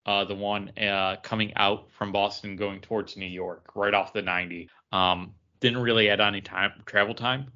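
A man's voice: on the low side, steady tone, normal speed, few pauses, pushed and bright, lo-fi sound. A man chatting casually as a podcast co-host, telling stories in a rambling, meandering way. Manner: rambling storyteller. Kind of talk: casual chat.

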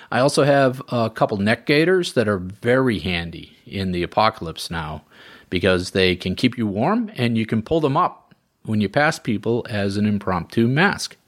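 Middle-aged podcast host from New England, fairly low voice, easygoing and folksy. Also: male voice, moderate pace. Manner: relaxed, folksy, encouraging. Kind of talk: podcast monologue and interview.

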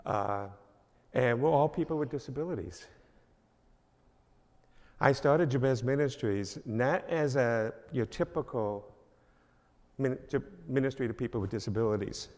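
A man's voice: mid-range voice, measured pace, long pauses, darkish tone. An older man with a New York accent, a minister giving a rambling, self-deprecating introduction at a church service, full of fillers. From New York, Long Island. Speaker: guest speaker, minister. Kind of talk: informal church address. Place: church sanctuary. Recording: long reverb of a church hall.

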